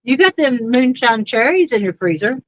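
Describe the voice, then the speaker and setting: A woman chatting casually on a pontoon boat while fishing, easygoing and quick to laugh. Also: fairly high pitch, very animated, no echo, low-quality recording. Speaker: fishing streamer. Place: outdoors on a pontoon boat on a lake.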